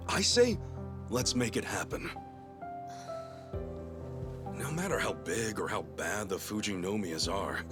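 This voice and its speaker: Earnest ex-yakuza, wildly swooping voice. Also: male voice, lowish voice. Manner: earnest, emphatic, self-deprecating. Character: earnest, awkward, big-hearted ex-yakuza man. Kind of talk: dramatic video game voice acting.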